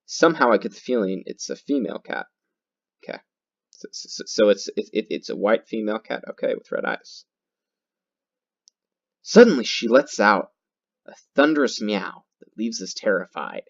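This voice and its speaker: A man reading horror game text aloud in a theatrical, halting way, repeating words and adding his own comments. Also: fairly low pitch, big pitch swings, creaky. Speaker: gaming video host. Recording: dull lo-fi sound with loud music. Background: loud guitar music.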